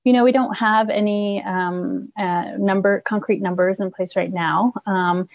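Gravelly woman, agency staffer, hesitant and full of fillers. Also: medium pitch, fairly even intonation, unhurried, no room sound, lo-fi sound. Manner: hesitant, many ums and restarts. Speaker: refugee resettlement staff member. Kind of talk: news interview.

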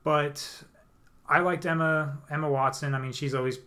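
A young man co-hosts a casual podcast in a loose, easygoing way. He pauses, restarts and leans on fillers as he gathers his thoughts. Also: fairly high pitch. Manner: relaxed, hesitant, lots of fillers. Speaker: podcast co-host. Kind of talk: casual chat.

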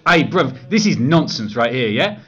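A woman's voice: rumbling low, sing-song, normal speed, slightly rough, heavily acted. A woman playing a cocky young male Galileo as a brash lad with London street slang, in a comedy sketch. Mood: defensive, earnest. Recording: dull, lo-fi audio.

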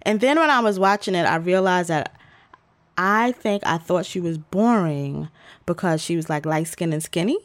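A woman's voice: lowish voice, moderate pace, no echo. A woman co-hosting a podcast, chatting in a slangy, informal, rambling way. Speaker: podcast co-host. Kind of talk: casual chat.

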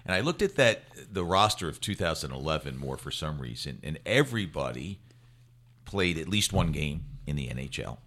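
A man's voice: extremely low pitch, very animated, normal speed, a bit bright. A man hosting a conversational sports interview in a chatty, jocular manner.

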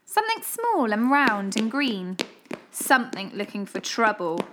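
A woman reading a children's storybook as an expressive storyteller. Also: fairly high pitch, measured pace, background noise. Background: music.

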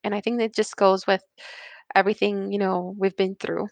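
A woman's voice: mid-range voice, level tone, moderate pace, creaky, no echo. Puerto rican woman guest on a podcast, laughs a lot, dead dry sound. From Puerto Rico. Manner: candid, laughs often, self-correcting restarts. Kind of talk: conversational interview.

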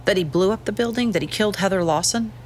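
A woman's voice: medium pitch, fast talker, a little hiss or hum, close to an everyday voice. A woman playing a stern, incredulous questioner in an audio drama. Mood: incredulous, pressing.

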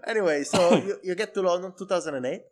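A man hosting a podcast interview, asking quick follow-up questions. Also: high voice. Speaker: podcast host. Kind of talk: casual interview.

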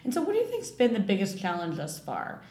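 A woman's voice: medium pitch, huge pitch swings, fast, a little mellow. A woman hosting an interview, asking questions in a friendly, curious, chatty way. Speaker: interviewer, podcast host. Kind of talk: interview conversation. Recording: echoey room.

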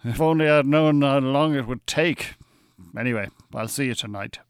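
An actor with an Irish accent playing a smooth, bossy, pompous hypnotist and con-artist in a radio drama. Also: male voice, mid-range voice, normal speed, no room sound, studio quality, lightly acted. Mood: confident.

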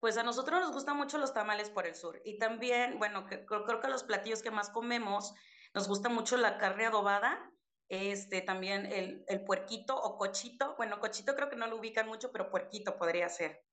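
A woman from Chiapas speaks with a Mexican accent, in a mid-pitched voice. She tells stories in a chatty, warm way with lots of fillers. Her voice echoes around a roomy space. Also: moderate pace. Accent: Mexican Spanish. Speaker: podcast guest voice. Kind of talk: casual storytelling chat.

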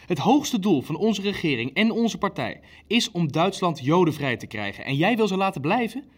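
An actor playing an impatient, commanding Nazi official who runs a meeting in a radio play. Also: male voice, sits high, very animated, moderate pace, husky, heavily acted. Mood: challenging.

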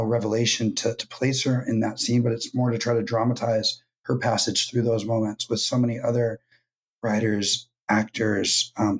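A man from California, hoarse from losing his voice, talking thoughtfully as a writer guest in a casual podcast interview. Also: mid-range voice, rough recording. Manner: thoughtful, many ums and restarts. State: hoarse, lost voice.

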